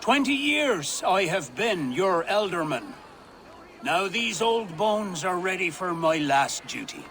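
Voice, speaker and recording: A man's voice: high voice, sing-song, a bit bright, slight background noise, heavily acted. An older man playing a solemn, tender village leader who faces death, in dramatic video game acting. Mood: solemn, proud. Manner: slow, solemn, measured delivery.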